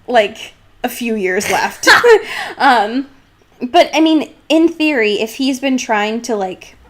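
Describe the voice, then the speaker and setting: A woman's voice: on the high side, expressive, slow pace. A young woman co-hosting a podcast, recapping a book casually in a slangy, irreverent, sarcastic way. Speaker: podcast co-host.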